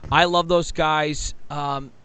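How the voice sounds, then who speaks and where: A man's voice: on the high side, fairly even intonation, slow, bright, forceful tone, clear, resonant tone. A man hosting a casual interview, talking conversationally as an enthusiastic music fan. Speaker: podcast interviewer.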